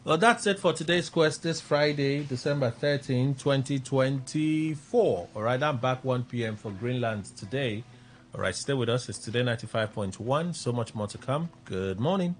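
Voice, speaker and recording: Male Nigerian radio presenter, mid-pitched voice, live talk show sign-off delivery. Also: lively intonation, moderate pace, slight background noise. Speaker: radio host.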